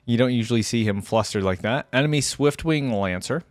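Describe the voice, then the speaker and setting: A man's voice: mid-range voice, brisk, no room sound, faint music behind. A man hosting a casual reaction video about game voice lines, chatting in a laid-back, conversational, amused way.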